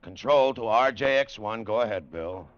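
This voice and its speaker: Guy acting gruff but fond american colonel, old radio play, clipped orders, muffled vintage sound. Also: fairly low pitch, normal speed, slight background noise, natural voice. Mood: steady. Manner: clipped, slangy commands. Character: gruff, fond male colonel running flight control. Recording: dull vintage radio recording.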